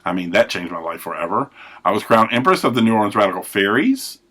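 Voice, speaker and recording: A man hosting a recovery podcast, telling his life story in a rambling, self-correcting way with a big, loud voice. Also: fairly low pitch, expressive, fast talker. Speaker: podcast host. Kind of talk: personal recovery monologue.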